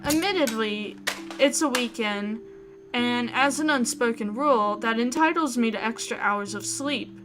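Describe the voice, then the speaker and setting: A woman voicing an anxious, caring young woman narrating a visual novel in the first person with expressive introspection. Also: on the high side, measured pace, close to an everyday voice. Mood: reflective. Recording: guitar music behind. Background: plucked guitar music.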